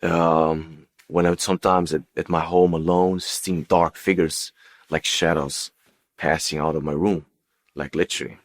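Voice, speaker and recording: A man who speaks as a tells his personal story as an interview guest, in a halting, unscripted way with repeated words and phrases. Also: deep voice, level tone. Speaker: interview guest giving testimony.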